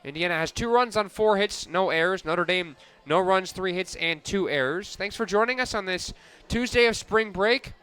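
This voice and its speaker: A man calling live softball play-by-play on the radio as the announcer. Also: high voice, normal speed, hardly any pauses, smooth.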